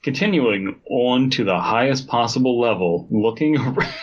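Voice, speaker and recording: A man's voice: medium pitch, expressive, moderate pace, a little hiss or hum. A man chats casually as a comedy podcast co-host, trading banter in an everyday voice. The recording is a little dull and lo-fi, as if from a modest microphone.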